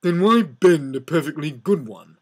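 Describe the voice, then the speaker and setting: A gloomy old donkey from a children's storybook, acted out by a man. He drags his words out slowly, deadpan and mournful, with a husky edge and a dry, sardonic temper. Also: a little high.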